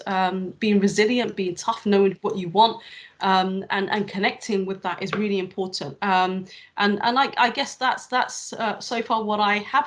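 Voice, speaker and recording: A middle-aged woman speaks to students in a heartfelt, motivating way. She restarts her phrases often as she works through her point. Her pitch sits in the middle range. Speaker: panel speaker, community leader. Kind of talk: panel talk to students.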